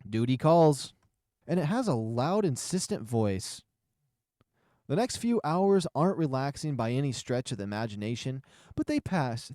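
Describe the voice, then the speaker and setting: Mellow, animated male narrator in a roomy space. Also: mid-range voice, clean studio sound. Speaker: narrator and reader of a visual novel. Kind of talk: first-person scripted narration.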